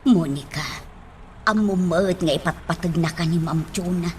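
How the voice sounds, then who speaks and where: A woman's voice: on the low side, unhurried, slight background noise, natural voice. A woman with an Ilocano accent playing a concerned, affectionate household nanny in a radio drama.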